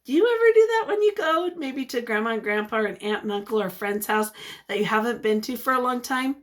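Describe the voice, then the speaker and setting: High-voiced woman reading aloud to children. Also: expressive, fast. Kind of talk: picture-book read-aloud with questions to young listeners.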